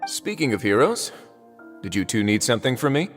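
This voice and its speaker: An actor playing a wry, unhurried man who runs a prison fortress in a video game, with a dry, teasing delivery. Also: medium pitch, big pitch swings, close to an everyday voice. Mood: wry, relaxed. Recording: long reverb, music underneath.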